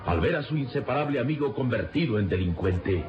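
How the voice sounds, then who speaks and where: A man's voice: mid-range voice, animated, fairly quick, a little hiss or hum. A man narrating a scripted radio serial in a solemn, theatrical style. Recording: muffled lo-fi radio recording.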